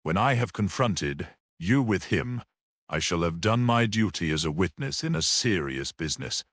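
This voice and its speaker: Guy doing a calm village priest in an audiobook, grave n a bit wry, voice rises and falls a lot. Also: low-pitched, dry recording, studio quality, heavily acted. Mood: weighty reserve. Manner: grave, equable, deliberate.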